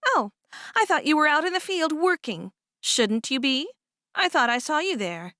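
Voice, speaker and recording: A woman's voice: high voice, big pitch swings, normal speed, bright, forceful tone, dry recording, studio quality, voice pushed up, heavily acted. A woman reading aloud and voicing an upset, stubborn teenage farm girl who talks in a sulky, indignant way.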